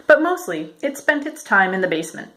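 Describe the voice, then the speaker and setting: A woman reading a children's picture book aloud as a storyteller, in a measured, expressive way. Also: medium pitch, background noise. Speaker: storyteller, educator.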